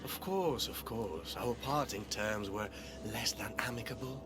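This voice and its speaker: Man acting oily, breathy scheming male traitor. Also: lowish voice, close to an everyday voice. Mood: ingratiating. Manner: smooth, ingratiating, formal, deliberate. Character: oily, scheming, falsely humble cult traitor in the underworld. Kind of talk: video game cutscene acting. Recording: loud music behind.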